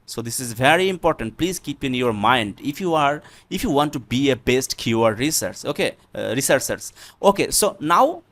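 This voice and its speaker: A man with a Bangladeshi accent presents an unscripted software tutorial, explaining step by step in a halting, repetitive way. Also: medium pitch, expressive. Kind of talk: unscripted screen-recorded tutorial.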